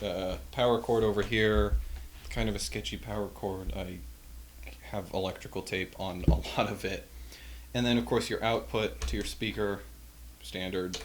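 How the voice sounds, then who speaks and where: A man's voice: on the low side, fairly even intonation, normal speed, slight background noise. A man giving a casual, unscripted hands-on demonstration of old equipment in a wry, self-deprecating, offhand way. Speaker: host demonstrating vintage audio equipment.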